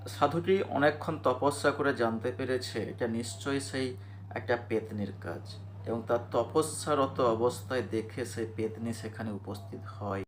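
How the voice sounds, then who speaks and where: A man with a medium-pitched voice narrates a scripted ghost story for a cartoon. He speaks as a storyteller, with clear noise and ambience behind his voice. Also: moderate pace.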